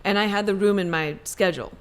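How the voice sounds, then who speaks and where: A woman's voice: medium pitch, quick pace. A young adult woman psychiatrist from Maryland, talking casually and thoughtfully in an interview, with many fillers and self-corrections. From Maryland, United States. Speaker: psychiatrist guest.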